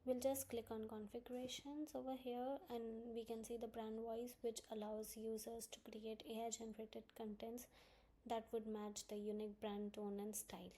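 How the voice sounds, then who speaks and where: A woman presenting a software tutorial, explaining each step in instructional narration. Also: a little high, little pitch movement, moderate pace. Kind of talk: screen-recorded software walkthrough, instructional narration.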